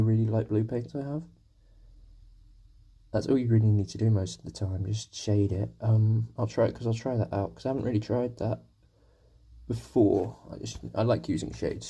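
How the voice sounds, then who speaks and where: A man's voice: lowish voice, dark tone. A young man with a sore throat, chatting casually to his stream viewers as he paints, rambling and poking fun at himself. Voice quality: gravelly, husky. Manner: rambling, self-deprecating, talking to viewers. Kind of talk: casual live-stream chat while painting.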